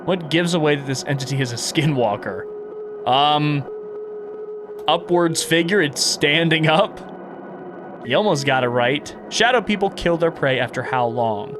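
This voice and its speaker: A man doing casual video game playthrough commentary, reading prompts aloud and reacting as he thinks out loud. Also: fairly high pitch, measured pace, noisy background. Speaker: gaming content creator and streamer.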